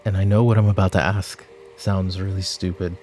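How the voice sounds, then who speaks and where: A man playing a weary, exasperated mafia boss in an ASMR audio drama, talking in a dry, halting way. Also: deep voice, level tone, a little mellow, soft background music, lightly acted. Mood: weary, troubled. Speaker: voice actor in audio roleplay.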